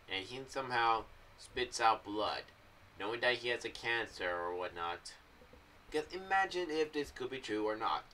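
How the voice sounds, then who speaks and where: A young American man giving casual, meandering commentary to camera in a halting, loose way. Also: mid-range voice, pushed and bright, distant mic, a little hiss or hum, poor audio. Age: young adult. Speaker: video creator giving theories on an audio drama series. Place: small room indoors. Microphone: boom-arm condenser with pop filter, off to the side.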